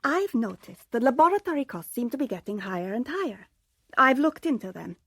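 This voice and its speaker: An actress playing a sharp, composed young secretary in a radio drama, speaking precisely and in a businesslike way. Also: female voice, a little high, very animated, brisk, slightly rough, no room sound, clean studio sound, exaggerated voice. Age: young adult.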